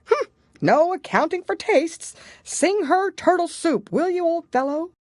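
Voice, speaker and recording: A woman's voice: very high-pitched, animated, a little slow, darkish tone, no echo, studio quality, pitched above the performer's own voice. A woman voicing a brusque, impatient, bossy gryphon in an audiobook, with hasty exclamations. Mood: offended. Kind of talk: audiobook character voice.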